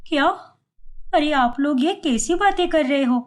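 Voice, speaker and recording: Woman as a high-voiced, earnest young bride. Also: expressive, a little slow. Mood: hurt, pleading. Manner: pleading, polite but protesting. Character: earnest young daughter-in-law with big dreams.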